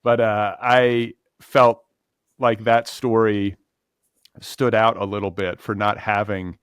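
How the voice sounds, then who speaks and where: A man's voice: lowish voice, flat delivery, takes it slowly, clean tone, no echo, studio quality. A man hosting a casual literary interview, talking in a conversational, discursive and somewhat tentative way. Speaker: podcast host.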